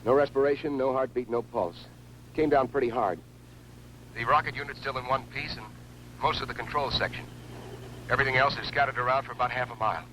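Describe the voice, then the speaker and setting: A man's voice: mid-range voice, fairly even intonation, a little fast, bright, forceful tone, lightly acted. A man acting a grim, self-blaming field engineer in a 1950s science fiction film, speaking in terse, clipped reports. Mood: terse, urgent. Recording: noisy old film soundtrack.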